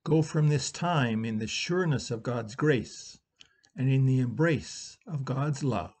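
Older minister giving a quiet, measured benediction and notices from home by webcam. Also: male voice, medium pitch, slightly rough. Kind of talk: benediction and announcements. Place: home room. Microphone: webcam on video call.

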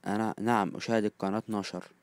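A man with an Egyptian accent teaching phrases, repeating each one slowly and then glossing it in quick, casual asides. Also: fairly low pitch, steady tone, no room sound, studio quality. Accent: Egyptian Arabic. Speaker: language teacher. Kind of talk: phrase-by-phrase language lesson.

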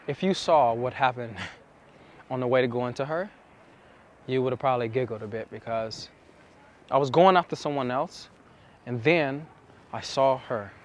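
A young man explaining to camera as a dating coach, with emphatic, deliberate phrasing and pauses, recorded outside on a city street. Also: mid-range voice. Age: young adult. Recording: outdoor city street with traffic noise.

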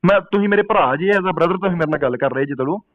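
A dull, lo-fi call recording carries a man. He speaks high and quickly, pressing religious arguments like a preacher and driving them home with insistent rhetorical questions. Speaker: Sikh preacher taking a supporter's phone call.

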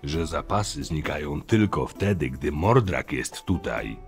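A man's voice: rumbling low, animated, a little slow, vocal fry, faint music behind, exaggerated voice. A man playing a stern, loyal, level-headed chief of camp guards in a fantasy audio drama, speaking firmly and matter-of-factly. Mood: businesslike. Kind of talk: acted dialogue, fan audio drama.

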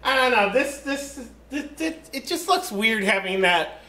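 A high, bright-toned American man chats his way through a strategy game, drifting into side rants. His voice echoes around a bare room. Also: expressive, unhurried. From United States. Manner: rambling, chatty, wanders off topic. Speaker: let's play host. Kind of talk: casual game commentary.

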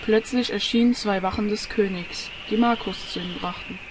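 A woman's voice: medium pitch, steady tone, normal speed, noisy background. A woman reading scripted narration for an audio drama. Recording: music under the voice. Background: music.

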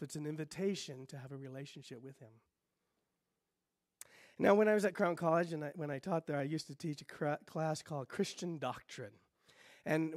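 Middle-aged guy preaching at the pulpit, kinda high voice, dry close sound. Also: dry recording. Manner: teaching, lively, gestures widely at a pulpit. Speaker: pastor. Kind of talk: sermon. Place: church sanctuary stage.

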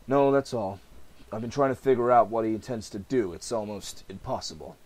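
A man's voice: lowish voice, fairly quick, a little mellow, lightly acted. A man voicing a brooding, serious, frustrated masked vigilante in a comic-book reading. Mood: troubled.

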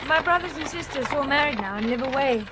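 Young lady cousin, radio drama: high, animated, polite and curious. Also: fast. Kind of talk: 1980s radio play acting. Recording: very noisy old radio broadcast tape.

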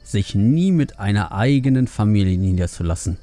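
A man's voice: fairly low pitch, lively intonation, normal speed, warm tone, soft background music, natural voice. A man voicing an angry, stubborn, overprotective middle-aged pandaren father in a fantasy audiobook, vehement, snappish and scornful. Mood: bitter, reproachful.

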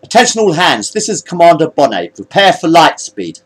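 Man acting firm alien first officer, animated delivery. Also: a little high, brisk, husky, voice pushed up, poor audio. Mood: forceful, threatening. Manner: firm, clipped military commands. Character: blue-skinned alien male first officer, firm, defiant mutineer.

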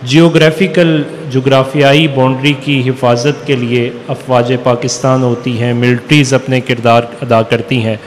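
A middle-aged Pakistani man, a religious scholar, giving a formal, somewhat halting convention speech into podium microphones. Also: mid-range voice, flat delivery. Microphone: podium microphones through a PA. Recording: reverberant PA hall with background noise.

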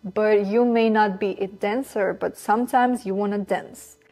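Young woman chatting candidly to camera, mid pitch, bit of music under her. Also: fairly even intonation, a little slow, darkish tone. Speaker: singer interviewee. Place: indoor studio. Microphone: lapel mic.

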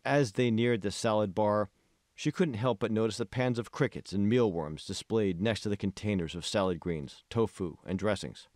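Low-voiced man narrating an audiobook. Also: moderate pace, darkish tone, no echo, studio quality. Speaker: author reading own audiobook.

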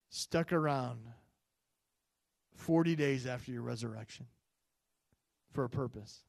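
A man in his forties preaching a Sunday sermon on a handheld microphone, chatty and full of fillers, rising loud on key points and dropping low and soft in prayer. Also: creaky, no echo. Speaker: pastor. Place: church stage, medium-sized room. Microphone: handheld mic.

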